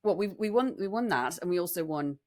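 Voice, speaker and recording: A middle-aged British woman with a slightly husky voice, chatting casually and jokily as a rambling podcast co-host. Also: lowish voice, lively intonation, fairly quick, darkish tone. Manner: jokey, rambling. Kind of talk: casual chat with thank-yous.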